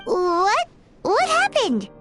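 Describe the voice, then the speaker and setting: A woman voicing a small, chirpy, childlike fairy companion in a video game, squeaky and excitable. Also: extremely slow, over loud music, exaggerated voice. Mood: astonished. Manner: excitable, exclamatory.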